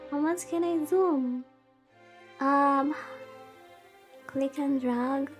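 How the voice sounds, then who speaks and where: High-voiced woman streamer, slow and playfully dramatic. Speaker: VTuber streamer, host. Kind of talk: casual livestream chat while playing a visual novel. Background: background music.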